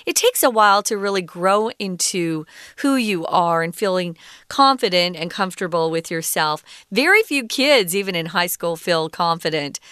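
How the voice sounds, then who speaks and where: A woman teaching English. She reads a passage aloud and patiently explains each word, often pausing with "um" and "uh.". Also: fairly low pitch, expressive, fairly bright tone, clean tone, no echo, clean studio sound. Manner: patient, defines words step by step; frequent ums and uhs.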